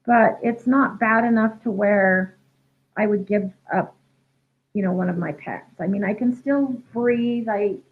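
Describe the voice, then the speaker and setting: A woman's voice: mid-range voice, unhurried. A young woman, a dog trainer, answering viewer questions in a casual live chat, congested and losing her voice from a head cold. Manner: chatty, many ums and restarts, reads questions aloud. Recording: tinny phone-like livestream audio.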